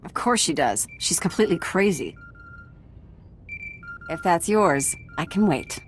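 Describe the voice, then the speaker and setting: Blunt, lowish-voiced skeptical scientist. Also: female voice, lively intonation, measured pace, soft background music. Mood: exasperated. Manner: blunt, wary. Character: exasperated, skeptical woman scientist. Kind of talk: video game voice acting.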